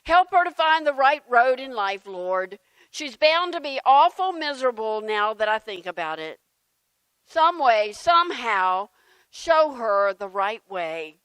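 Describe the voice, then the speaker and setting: Woman as flustered praying churchgoer: high, clear voice, defensive and exclamatory, comic church sketch. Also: a little slow, dry recording, low-quality recording. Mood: humbled. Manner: animated, indignant, exclaiming.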